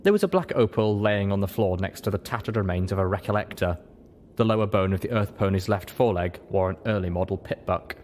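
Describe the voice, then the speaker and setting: Male story narrator, low register, scripted first-person reading with faint noise behind. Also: fast, a little mellow. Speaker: narrator of a fan fiction reading.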